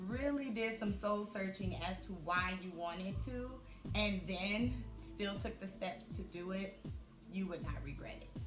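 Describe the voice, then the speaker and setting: Thirtysomething woman vlogging, slow reflective chat with lots of um and like, kinda low voice, music going in the background. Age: 30s. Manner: reflective, chatty with frequent fillers. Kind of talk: casual talk to camera. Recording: echoey room with music behind.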